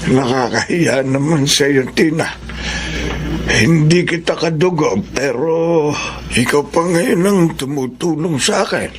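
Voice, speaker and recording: A man playing a sickly, humble old grandfather in a Filipino radio drama, speaking haltingly and kindly. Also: a little high, background noise. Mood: humble, apologetic. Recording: music behind the voice.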